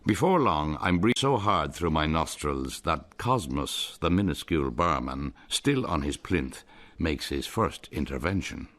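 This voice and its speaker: Very deep-voiced Irish man, wry storyteller narrating a radio play. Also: animated, measured pace, fairly bright tone.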